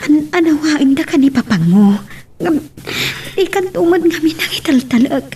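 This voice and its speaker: A woman's voice: high voice, lively intonation, normal speed, warm tone. A woman with an Ilocano accent acting an anxious, scolding but loving mother in a melodrama. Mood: distressed. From Philippines. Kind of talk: melodramatic radio-style drama acting. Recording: noisy background ambience.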